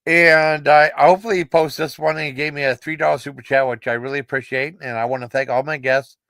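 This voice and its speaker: A man's voice: a little high, steady tone, quick pace, fairly bright tone, no echo, studio quality. A man hosting a casual livestream, talking in a rambling, informal way with short repeated acknowledgments. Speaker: livestream host. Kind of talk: casual livestream chat and sign-off.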